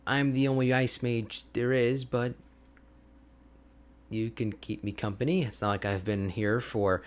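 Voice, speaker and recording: A man playing an energetic, boastful, chatty ice mage hermit in an ASMR roleplay, with a playful, expressive, conversational delivery. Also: mid-range voice, a little slow. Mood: boastful, friendly. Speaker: audio roleplay voice actor.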